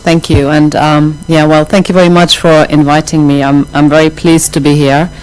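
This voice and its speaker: Low-voiced German-accented woman lecturing. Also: flat delivery, moderate pace, a little mellow, low-quality recording. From half German, half Iraqi. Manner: thoughtful, many ums and restarts. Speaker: academic speaker.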